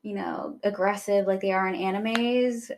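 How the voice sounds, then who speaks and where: A woman's voice: medium pitch, steady tone, moderate pace, a little mellow, a little hiss or hum. A woman who grew up speaking Spanish alongside English, chatting casually as a podcast co-host in a rambling, informal way full of filler "like". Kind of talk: casual chat about anime.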